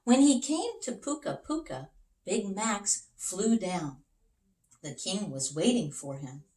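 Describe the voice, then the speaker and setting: A woman reads a bedtime story to young children as a teacher would. Her delivery is animated and takes its time, with the occasional aside. The room adds noticeable echo. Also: mid-range voice, slow pace, far from the mic. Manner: expressive, with asides about the pictures. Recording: roomy, echoing sound.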